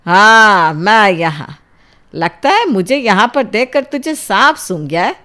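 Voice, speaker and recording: A woman voicing a strict middle-aged mother in an audio drama, scolding and threatening in a forceful way. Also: mid-range voice, very animated, a little slow. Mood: furious.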